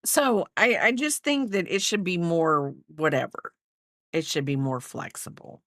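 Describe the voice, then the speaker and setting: A woman's voice: on the low side, very animated, unhurried, no room sound, clean studio sound. A woman in her fifties chatting casually on a crochet livestream, rambling and reading out viewer comments. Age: 50s.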